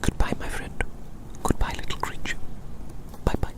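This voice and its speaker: An ASMR performer whispering breathily and gently in a roleplay as a kindly, curious adventurer, often repeating phrases softly. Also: moderate pace. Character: kindly, curious adventurer fond of magical little creatures.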